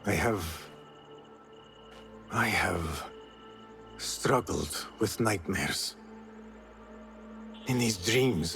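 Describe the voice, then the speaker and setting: An actor playing a troubled, earnest young assassin novice in a video game, speaking formally and in a measured way. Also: male voice, lowish voice, fairly bright tone. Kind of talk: dramatic video game acting. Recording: dramatic music behind the voice.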